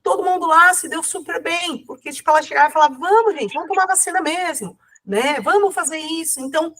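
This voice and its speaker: A woman's voice: very high voice, fast, fairly bright tone. A woman with a cold, speaking casually as an interview guest, rambling with many fillers and self-corrections. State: has a cold.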